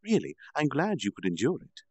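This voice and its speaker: A man voicing an adult female harpy demon lord who speaks measured and quietly stern. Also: medium pitch, wildly swooping pitch, slightly rough, no echo, voice pushed up. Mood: calm.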